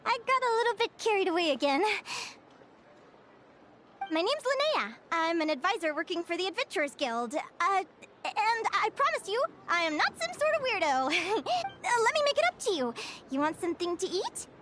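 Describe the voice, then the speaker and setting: A woman's voice: very high-pitched, big pitch swings, moderate pace, over music. A woman voicing a bubbly, curious young female guild advisor in a video game, with a light girlish voice and a chirpy, gushing manner. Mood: gushing, playful.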